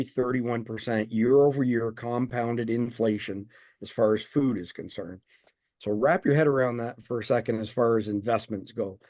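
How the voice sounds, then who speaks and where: A man's voice: a little mellow, dry recording. Confident man explaining, dry sound. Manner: confident, explanatory, making a pitch. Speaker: guest, survival news founder. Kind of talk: interview answers.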